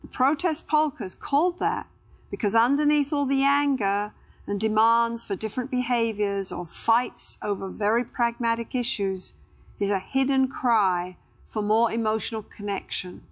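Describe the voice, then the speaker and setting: A woman's voice: on the high side, normal speed. A woman reading scripted educational narration as a narrator.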